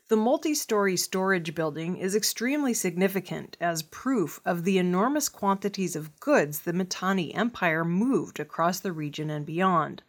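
A woman news reader delivering scripted news narration. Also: fairly low pitch.

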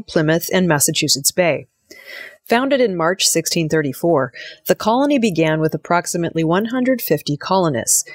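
A woman reading scripted news in a measured, formal manner. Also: fairly low pitch, dry recording, studio quality. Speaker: news reader.

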